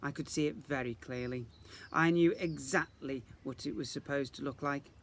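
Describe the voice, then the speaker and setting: A man reading a children's story aloud in the expressive style of a teacher reading to young children. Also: medium pitch, moderate pace, creaky, slight background noise.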